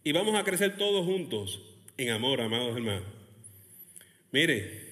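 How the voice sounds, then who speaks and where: Animated male pastor, high-pitched and expressive, preaching in a big echoing hall. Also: moderate pace. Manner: animated preaching with laughs and acted quotes of churchgoers. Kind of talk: lively sermon. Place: church hall, Texas. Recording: long hall reverb.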